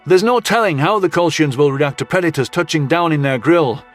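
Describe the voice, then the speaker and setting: A man playing a gruff, wary human soldier who talks in blunt slang. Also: a little high, brisk, natural voice. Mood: exasperated, wary. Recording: music under the voice.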